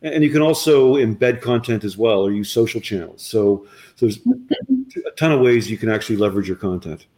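A man's voice: fairly low pitch, brisk, a little mellow. Eager man explaining, stumbling restarts. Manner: eager, repeats words and restarts often. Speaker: company representative. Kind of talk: conversational business interview.

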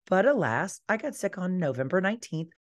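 A woman life coach hosting her podcast solo, earnest and encouraging, her voice hoarse from being sick. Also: fairly low pitch, very animated, a little fast. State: hoarse, recovering from losing her voice.

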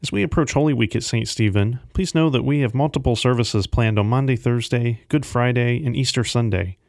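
A man hosting a devotional podcast, reading scripted announcements in a measured, orderly way. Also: mid-range voice, a little mellow, no room sound, clean studio sound. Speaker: podcast host.

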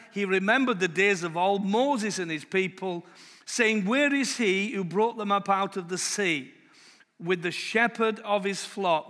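A man preaching a sermon in a loud voice, slowing down to read scripture with emphasis. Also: sits high, long reverb tail.

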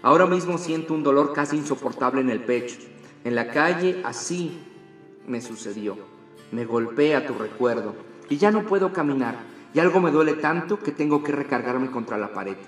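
Lovesick woman writing a letter read by a man, tender, quiet, wistful, middle voice. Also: mid-range voice, animated, normal speed, reverberant, soft background music, lightly acted. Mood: tender longing. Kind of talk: reading a letter aloud.

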